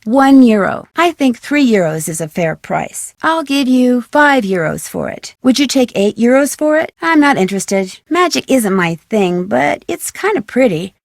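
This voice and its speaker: A woman's voice: a little high, big pitch swings, measured pace, gravelly, hardly any pauses, no room sound, studio quality, heavily acted. A woman playing a young female sleuth in an adventure game, speaking short, casual lines in a light, upbeat manner. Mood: breezy, noncommittal.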